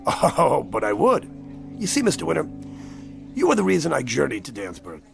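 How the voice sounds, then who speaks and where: An actor playing a sly, courtly older stranger in a radio drama, with a teasing, ornately polite manner. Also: male voice, a little high, wildly swooping pitch, moderate pace, gravelly, music in the background, exaggerated voice. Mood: ingratiating.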